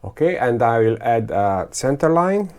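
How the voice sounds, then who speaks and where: Gravelly-voiced man. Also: mid-range voice, sing-song, darkish tone. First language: Croatian, Serbian and Hungarian. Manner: thinking aloud, halting, many fillers and restarts. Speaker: teacher. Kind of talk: screen-recorded software tutorial.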